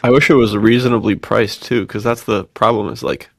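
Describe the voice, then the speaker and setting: A man with a lowish voice and plenty of gravelly creak talks in an easy, slangy podcast style. He sounds keen and agreeable, recorded very dry. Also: animated, fairly quick, no echo. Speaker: podcast co-host. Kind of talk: casual enthusiastic chat.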